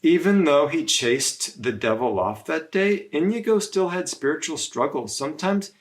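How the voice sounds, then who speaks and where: A man reading a story aloud to children as a religious brother, in measured phrases with frequent pauses. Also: a little high, lively intonation.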